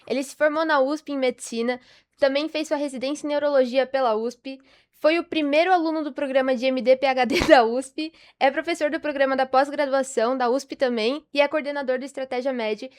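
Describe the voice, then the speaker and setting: Young Brazilian woman, high-pitched voice, podcast host, enthusiastic, informal, conversational. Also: fairly even intonation, a little fast. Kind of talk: casual interview.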